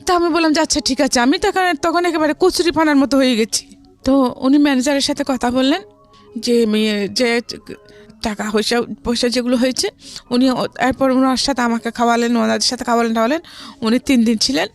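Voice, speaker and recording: A woman with a Bangladeshi accent tells a personal story in a conversational way, as an interview guest. Her voice is high, and soft music plays behind her. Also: steady tone, fairly quick.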